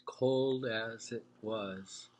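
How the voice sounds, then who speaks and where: A man reading poetry and travel prose aloud informally, in a halting, deliberate way. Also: lowish voice, fairly even intonation. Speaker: reader of a poetry travel sketch.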